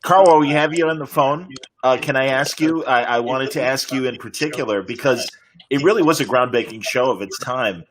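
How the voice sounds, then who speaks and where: A man's voice: medium pitch, a little fast, crowd noise, low-quality recording. A man moderating a podcast over a remote call, asking conversational interview questions.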